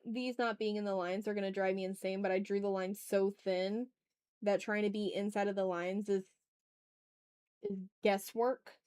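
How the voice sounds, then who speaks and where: A woman with a mid-pitched voice streams as an artist. She chats casually to viewers and half talks to herself as she works. Short phrases get repeated, mixed with mild exclamations. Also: steady tone, moderate pace, a little mellow, clear, resonant tone. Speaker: streamer, artist hosting a live art stream. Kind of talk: casual livestream chat, muttering to self while drawing.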